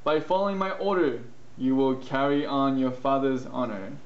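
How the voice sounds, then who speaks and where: A man's voice: mid-range voice, normal speed, echoey room, lo-fi sound, lightly acted. A man voicing a pompous carrot emperor in an amateur puppet show. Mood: commanding. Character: stern, bossy male carrot emperor.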